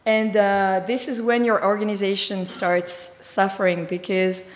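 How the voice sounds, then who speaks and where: A woman with a slightly hoarse, tiring voice giving a conference talk, fluent but with many fillers. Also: mid-range voice, little pitch movement, measured pace. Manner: fluent, filler-heavy explaining. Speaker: conference speaker. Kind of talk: conference presentation. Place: large conference hall. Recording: echoey hall with long reverb.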